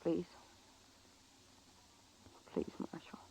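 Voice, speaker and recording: A woman's voice: very slow, dark tone, slight background noise. A woman playing a desperate, pleading American frontier woman in a radio western, emotional in her delivery. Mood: apologetic, pleading. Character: desperate, pleading saloon woman of the Old West. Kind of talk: 1950s radio western acting.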